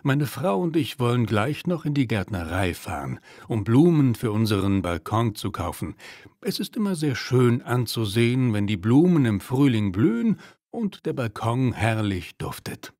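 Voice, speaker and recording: A man playing a friendly, obliging neighbour in a clearly articulated language-lesson dialogue, speaking politely. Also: medium pitch, very animated, moderate pace, darkish tone, dry recording, studio quality. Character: friendly, obliging married male neighbour who apologises readily.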